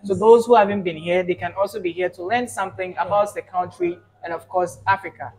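Young male event host, high-voiced, courteous and formal, handheld mic at an indoor event. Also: fairly even intonation, brisk, a little mellow, rough recording. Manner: polite, formal, many "Right" backchannels. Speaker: interviewer, event PR officer. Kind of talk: casual event interview. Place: indoors at a shopping mall event, standing.

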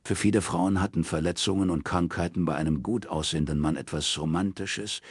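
A man narrates a novel in a scripted, literary style. His voice is gravelly and husky, with plenty of fry at the edges. The recording is close and dry, with very little room sound. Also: rumbling low, no echo. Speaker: audiobook narrator.

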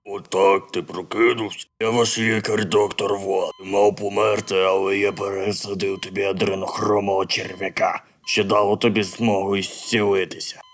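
Low, flat-toned deadpan doctor man acting. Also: moderate pace, few pauses. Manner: deadpan, matter-of-fact. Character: matter-of-fact male doctor, calm and brusque. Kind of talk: comic dub acting.

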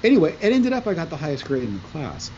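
A middle-aged man whose first language is Italian, telling stories as a podcast guest over a video call from home, talking in an animated way. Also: fairly high pitch, quick pace, highs cut off, slight background noise. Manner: animated, gestures while talking. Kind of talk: conversational storytelling. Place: room at home, indoors. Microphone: video call, wearing headphones.

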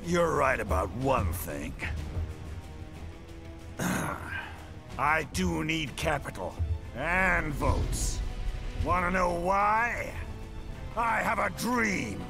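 An actor playing a brawny middle-aged senator villain who delivers a bombastic, profane speech. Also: male voice, on the high side, big pitch swings, extremely slow, creaky, pauses often, exaggerated voice. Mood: calm mocking. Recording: game audio with loud music behind.

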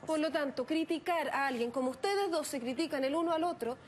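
Woman psychologist on tv, highish voice, explains things slow, faint hiss. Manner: explanatory, measured. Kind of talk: calm expert commentary. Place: TV studio set.

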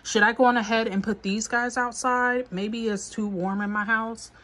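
A woman's voice: a little high, fairly even intonation, moderate pace, a bit bright. A young woman who is a bit under the weather, with a stuffy nose, chatting upbeat to viewers as she walks through her indoor seedling shelves, filmed on a handheld phone. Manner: chatty and upbeat, addresses viewers directly with frequent ums. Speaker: gardening vlogger. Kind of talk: casual gardening vlog walkthrough. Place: indoor basement plant shelves under grow lights. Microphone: handheld phone camera.

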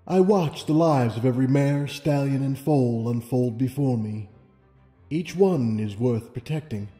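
Guy doing a regal lady unicorn princess, soft mellow tone, calm n gracious, big reverb, storybook. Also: mid-range voice, close to an everyday voice. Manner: measured, gracious, authoritative. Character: serene, regal, benevolent female winged unicorn princess. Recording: long hall reverb over music.

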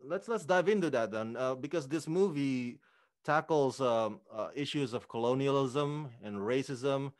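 A man's voice: medium pitch, unhurried, dry recording. A man from Indonesia interviewing a guest over a video call, friendly and curious, speaking into a studio microphone. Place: room with green screen. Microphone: studio mic on arm.

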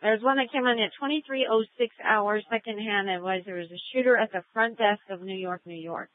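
A woman's voice: medium pitch, a little fast, no echo. Tinny police radio, American woman dispatcher. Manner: procedural, clipped acknowledgements. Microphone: radio dispatch mic.

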